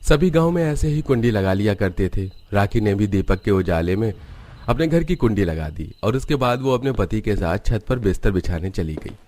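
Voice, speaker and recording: A man's voice: on the low side, animated, moderate pace, a little mellow. A man narrating a scripted cartoon village story.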